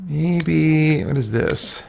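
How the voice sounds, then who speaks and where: A man's voice: fairly high pitch, drawn-out words, vocal fry, darkish tone. A man streaming a video game, thinking aloud in casual commentary and chatting with viewers. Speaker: gaming streamer. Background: faint game music.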